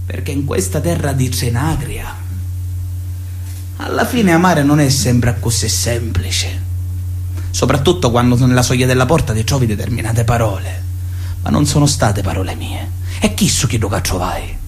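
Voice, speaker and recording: An actor playing an exasperated, plain-spoken Sicilian villager who grumbles colloquially in a theatrical radio monologue. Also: male voice, medium pitch, normal speed, slight background noise, lightly acted. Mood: wry grumbling.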